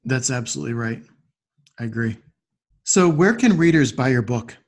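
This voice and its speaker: A man's voice: medium pitch, lively intonation, moderate pace. A man hosting a podcast interview, putting questions to a guest and responding to the answers.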